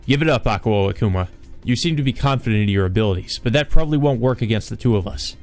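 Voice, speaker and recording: A man's voice: mid-range voice, expressive, fast talker, a little mellow, soft background music, natural voice. A man playing a confident, wry, tough male investigator from a visual novel in a casual, assertive manner. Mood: forceful.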